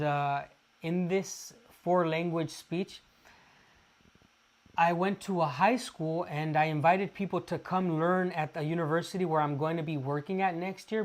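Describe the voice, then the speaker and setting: A man from Los Angeles, chatting casually to viewers on a livestream and pausing often with fillers. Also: on the high side. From Los Angeles, California. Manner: halting, restarts often with many "uh" fillers. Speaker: livestream host.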